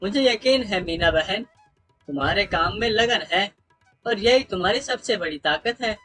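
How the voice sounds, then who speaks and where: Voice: medium pitch, animated, moderate pace, a bit bright, faint music behind. A performer voicing an encouraging, kindly male village shopkeeper with a reassuring delivery in a moral story.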